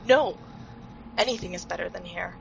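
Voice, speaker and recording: A woman's voice: on the low side, moderate pace, gravelly, faint music behind. A woman playing a desperate, determined fugitive in a fantasy audio drama, urgent and insistent, on noisy lo-fi audio. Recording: dull, noisy lo-fi recording.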